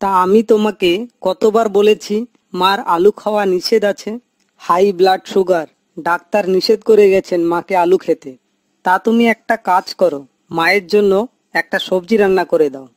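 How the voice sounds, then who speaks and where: A man's voice: high-pitched, moderate pace, no room sound, close to an everyday voice. Man acting earnest, dutiful grown son. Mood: chiding. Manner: earnest, chiding. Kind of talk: cartoon moral story voice acting.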